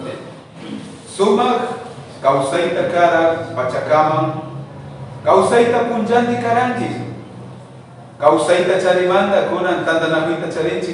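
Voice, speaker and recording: A man speaks in an earnest, preacherly way as he gives a spiritual address and leads a prayer. His voice sits somewhat high for a man. He is heard from a distance, with a long, echoing reverb filling the large hall around him. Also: slight background noise. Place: meeting room. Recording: echoey hall, picked up from far off.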